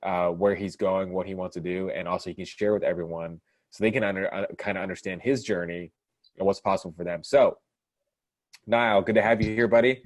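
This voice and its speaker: A man's voice: deep voice, fairly even intonation, brisk, no room sound. A man hosting an interview, upbeat and casual, stumbling and repeating words. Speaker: interview host.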